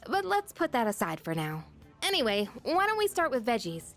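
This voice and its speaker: A woman playing a chatty, self-deprecating high school girl in a video game. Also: huge pitch swings, normal speed, a bit bright, faint music behind, heavily acted. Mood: chatty, modest.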